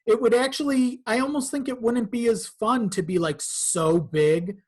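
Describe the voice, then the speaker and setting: A man's voice: very high voice, moderate pace. A man chatting casually as a podcast guest, a little hesitant and repeating phrases as he finds his words. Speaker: podcast guest, audio drama creator. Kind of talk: casual chat.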